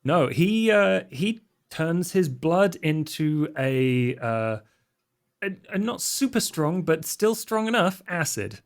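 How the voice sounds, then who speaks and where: A man's voice: on the high side, lively intonation, slow pace. Casual man playing a tabletop game. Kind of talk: casual chat describing character's actions.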